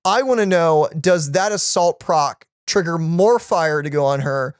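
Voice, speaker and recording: A young adult hosting a reaction video with excitable casual commentary full of gamer slang. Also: medium pitch, a little slow, smooth, no echo, clean studio sound.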